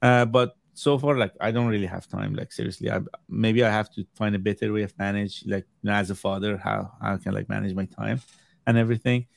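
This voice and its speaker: A man in his thirties whose first language is Farsi, a game collector chatting as a podcast guest over a video call, halting and full of fillers and restarts. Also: lowish voice, fairly even intonation, gravelly, no echo. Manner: hesitant, many fillers and restarts. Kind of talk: casual chat.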